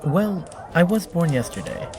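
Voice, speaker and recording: A man's voice: a little high, animated, a little slow, a little mellow, soft background music. A man playing an earnest, very literal newborn android in an audio drama, speaking formally and naively. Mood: wistful. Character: earnest, literal-minded newborn male android made of fire.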